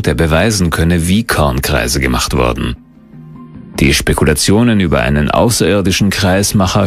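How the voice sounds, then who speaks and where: A man's voice: low voice, gravelly, breathy edge. A man narrating a scripted documentary.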